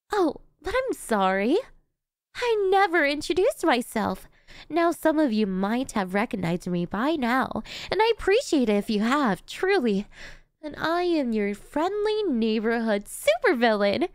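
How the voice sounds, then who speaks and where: Woman voicing a gleeful yandere supervillainess, ASMR roleplay, theatrical and teasing, swooping intonation, unhurried delivery. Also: a little high, sing-song, dry recording, studio quality. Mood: gleeful gloating. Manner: theatrical, teasing, chatty, breaking into giggles. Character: gleeful, cocky, doting yandere female supervillain.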